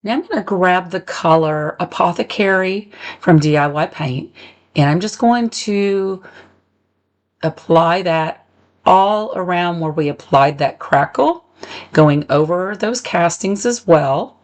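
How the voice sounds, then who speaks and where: A woman talks through a crafting project in a relaxed, conversational voice-over, walking the listener through each step with the occasional um. Her voice sits fairly low for a woman, and it comes out a bit squeaky and crackly because she is still getting over being sick. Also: slow pace. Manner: friendly step-by-step instructions with occasional ums. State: recovering voice, a little squeaky and crackly. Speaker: DIY upcycling channel host. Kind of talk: casual tutorial voice-over.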